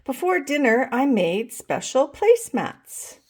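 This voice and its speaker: A woman's voice: sits high, very animated, a little slow, pitched above the performer's own voice, exaggerated voice. A woman voicing eager, proud little kindergarten girls in a storybook, telling things simply as at show-and-tell.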